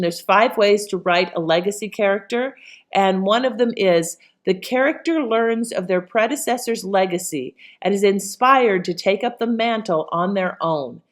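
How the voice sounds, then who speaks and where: A woman host giving casual, conversational commentary with an appreciative manner. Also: mid-range voice.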